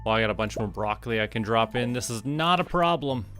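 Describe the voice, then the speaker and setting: A man from Canada doing casual gameplay commentary, thinking aloud to himself in short, unhurried remarks. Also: medium pitch, lively intonation, fairly bright tone. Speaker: livestreamer playing a farming game. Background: game music.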